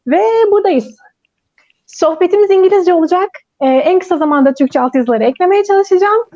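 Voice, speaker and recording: A woman's voice: extremely high pitch, expressive, a little fast, dark tone. A woman whose first language is Turkish, hosting a casual online chat and laughing often. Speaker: host. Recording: dull lo-fi sound.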